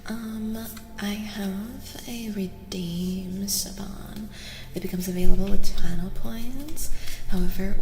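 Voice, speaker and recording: A woman streaming soft-spoken ASMR tarot readings, chatting in an unhurried, rambling way and often slipping into whispers. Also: mid-range voice. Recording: roomy reverb with clear background noise.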